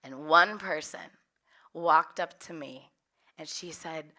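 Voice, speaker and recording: A young woman from California's Central Valley, hoarse and a little cracky from recovering a lost voice, leading a workshop with open storytelling and frequent laughter. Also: low-pitched, slow pace, pushed and bright. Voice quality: slightly cracky voice. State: hoarse, recovering from losing her voice. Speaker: workshop leader. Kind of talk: personal storytelling talk.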